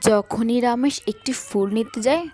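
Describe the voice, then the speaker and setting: A woman's voice: on the high side, normal speed, warm tone. A woman narrator reading a scripted fairy tale in a storytelling style. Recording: music under the voice. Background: background music.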